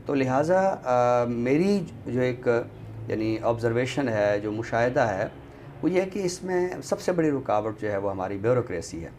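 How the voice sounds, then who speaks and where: A man with a Pakistani accent speaks as a guest in a thoughtful discussion, formal and deliberate, pausing often. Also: mid-range voice, lively intonation, a little mellow, a little hiss or hum. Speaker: guest commentator on a literary show.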